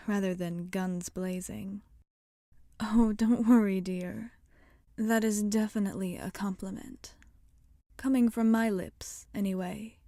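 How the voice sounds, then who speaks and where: A woman voicing a wry, rebellious witch shopkeeper in a soft-spoken ASMR roleplay, often slipping into whispers. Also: mid-range voice, no room sound, studio quality, natural voice. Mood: wry, confiding.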